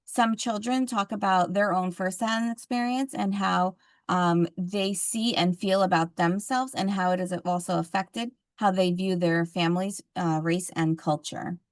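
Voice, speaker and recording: A woman's voice: on the low side, steady tone, unhurried, no room sound. A woman facilitating a parenting training session, explaining ideas steadily and at length in a conversational way.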